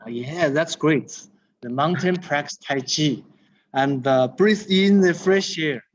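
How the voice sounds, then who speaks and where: A middle-aged man from China, chatting in halting English with his online tai chi class over a video call. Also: on the high side. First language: Chinese. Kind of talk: casual chat with online class. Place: martial arts hall. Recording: slightly dull video call audio.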